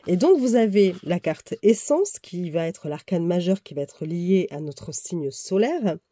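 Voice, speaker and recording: A woman's voice: on the low side, lively intonation, unhurried, dark tone, no room sound. A woman with a head cold, speaking slightly through her nose, chatting casually as she reviews a tarot deck and reads passages aloud, with fillers and self-corrections. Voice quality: slightly nasal. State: congested, has a cold.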